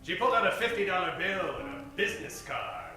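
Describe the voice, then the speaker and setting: A man's voice: sits high, wildly swooping pitch, brisk, pushed and bright. A man reading scripted narration for a noir radio comedy. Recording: echoey, distant live stage recording. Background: faint music.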